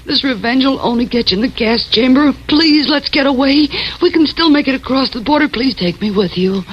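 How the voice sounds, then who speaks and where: A woman's voice: high-pitched, a little fast, dull audio, lightly acted. An actress playing a desperate, lovesick, scheming woman in a melodramatic 1940s radio crime drama. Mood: pleading, tearful. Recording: noisy old radio recording.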